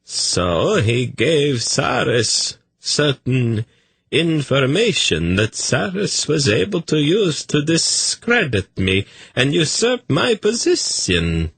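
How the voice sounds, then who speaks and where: A man voicing a dreamy, scheming male eunuch in an audiobook, telling a story in a smooth, lilting way. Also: mid-range voice, sing-song, slow, fairly bright tone, no echo, voice pushed up, exaggerated voice. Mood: wry storytelling.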